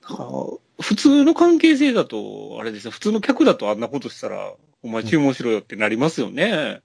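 A man chats casually as a podcast co-host with a gravelly voice and lively pitch movement. He sounds conversational and agreeable, drawing his partner in. The sound is dry, with little room. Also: brisk, no echo. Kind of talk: casual film chat.

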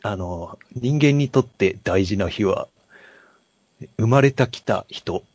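A man's voice: fairly low pitch, expressive, slow pace, darkish tone, breathy edge, no room sound. A man co-hosting a podcast, chatting casually about films in polite but informal speech and feeling his way through stories. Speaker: podcast co-host. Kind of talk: casual film chat.

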